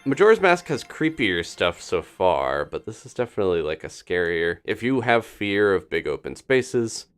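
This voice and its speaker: A man's voice: fairly low pitch, big pitch swings, moderate pace, clean tone, faint music behind. A man doing casual live commentary on a video game as he plays, thinking aloud. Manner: thinking aloud, talking to himself and his game character.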